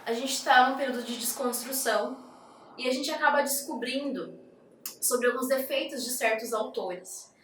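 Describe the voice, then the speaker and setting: A woman's voice: high-pitched, moderate pace, roomy sound, distant mic, slight background noise, rough recording. A woman hosting a casual interview, chatty and jokey, with frequent fillers. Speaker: host.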